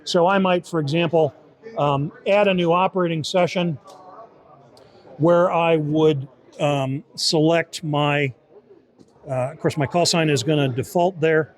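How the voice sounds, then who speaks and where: A man with a somewhat high voice walks through a software tool in an informal demo. He explains it conversationally, often repeating and rewording his points as he goes. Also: fairly even intonation, measured pace. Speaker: software team member demonstrating a beta tool. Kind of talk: informal product demo in an interview.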